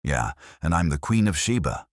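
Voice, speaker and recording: A man voicing a gruff, impatient police inspector, blunt and demanding, in an audiobook detective story. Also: extremely low pitch, very animated, normal speed, no room sound, clean studio sound, heavily acted. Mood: gruff, irritated.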